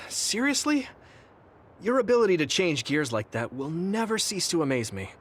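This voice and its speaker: A man playing an earnest, steady young soldier in a video game, speaking in a measured, kindly way. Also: high-pitched. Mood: exasperated. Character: earnest young male soldier, kind, steady, easily exasperated. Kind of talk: English video game voice acting. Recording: music behind the voice.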